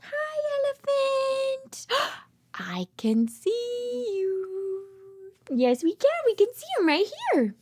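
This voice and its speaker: A woman's voice: extremely high pitch, big pitch swings, slow pace, darkish tone, no echo. A woman doing an interactive picture-book read-aloud for toddlers, with exaggerated sing-song delivery, playful repetition, gasps and whispers. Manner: exaggerated sing-song child-directed talk; repeats words playfully. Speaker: children's read-aloud host, speech therapist.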